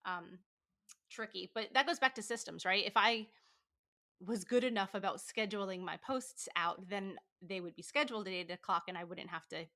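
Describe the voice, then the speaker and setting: A woman's voice: mid-range voice, sharp tone. A woman in her thirties, a podcast guest talking casually, full of likes and fillers. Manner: chatty, lots of likes and fillers, quick laughs. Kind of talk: casual interview chat.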